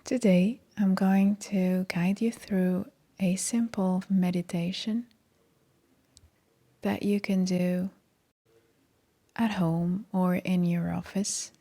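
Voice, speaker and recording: A woman with a soft, breathy voice leading a guided meditation in a calm, gentle, soothing manner. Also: lowish voice. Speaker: meditation guide, yoga teacher.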